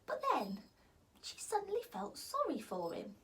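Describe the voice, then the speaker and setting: A woman reads a children's story aloud in a high, playful voice. Her delivery swoops widely through the lines. She drops into soft whispers for the quiet moments. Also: normal speed, distant mic. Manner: expressive, with whispers and laughs.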